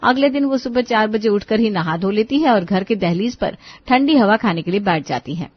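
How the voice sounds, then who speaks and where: A woman's voice: medium pitch, expressive, normal speed, dry recording, muffled recording. A woman narrator telling a scripted cartoon family story.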